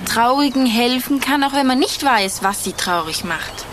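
A woman's voice: high voice, animated, moderate pace, noisy background. A woman voicing a kind, earnest young female forest animal in an audio story, worried and chatty.

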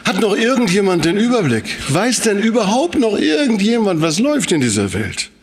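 A man's voice: high voice, big pitch swings, normal speed. Man preaching with rhetorical emphasis. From Sauerland, Germany. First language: German. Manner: rhetorical, emphatic, with repetition and questions. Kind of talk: sermon-like public talk.

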